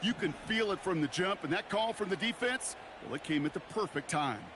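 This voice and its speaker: A man's voice: sits high, animated, a little fast. A man playing an American color commentator, explaining plays in an emphatic, folksy, conversational way. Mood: analytical, emphatic. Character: folksy, emphatic male football analyst. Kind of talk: football analysis commentary. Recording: loud stadium noise behind voice.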